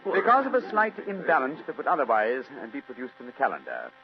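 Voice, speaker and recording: An actor playing a composed gentleman detective in an old-time radio drama, speaking with precise, courteous, analytical phrasing. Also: medium pitch, huge pitch swings, fast talker. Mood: regretful, precise. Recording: dull vintage radio recording.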